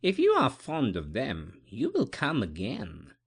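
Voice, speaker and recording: A man voicing a gentle, wistful blind woman of a country house in an audiobook, speaking softly and slowly. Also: fairly high pitch, wildly swooping pitch, pitched above the performer's own voice, exaggerated voice. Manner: soft, slow and wistful. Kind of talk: audiobook character voice.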